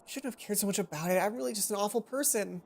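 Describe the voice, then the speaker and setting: A woman's voice: medium pitch, quick pace, gravelly, a little mellow. A woman voicing a shy, anxious female student in a visual novel, hesitant and apologetic. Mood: apologetic, upset. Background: game music behind the voice.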